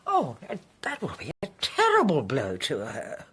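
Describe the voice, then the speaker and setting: A woman with a husky voice acting in a British radio drama as a composed, earnest witness. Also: extremely low pitch, huge pitch swings, unhurried, a bit bright, full character voice. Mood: regretful.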